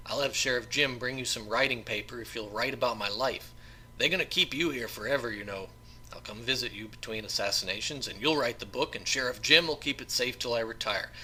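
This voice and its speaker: A man's voice: mid-range voice, bright, forceful tone, a little hiss or hum. A man voicing a demented convict assassin in an audiobook, crude, bragging and taunting like a predatory bully.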